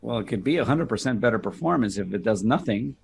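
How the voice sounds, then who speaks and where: A man with a low, husky voice full of creak joins a casual chat. He is wry and questioning in the way he talks. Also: animated, fast talker. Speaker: panel participant.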